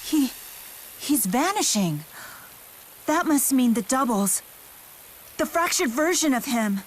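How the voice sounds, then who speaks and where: Highish, serious young woman. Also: sing-song, takes it slowly, full character voice. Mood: concerned, pondering. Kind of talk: video game voice acting. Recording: studio voice with faint music.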